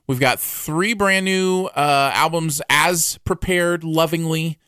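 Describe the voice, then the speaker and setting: A man co-hosting a casual music review podcast, chatty and animated, his voice going a bit hoarse. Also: fairly high pitch, takes it slowly, dry recording, clean studio sound. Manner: animated, laughs easily, thinks aloud. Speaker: podcast co-host. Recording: music playing underneath.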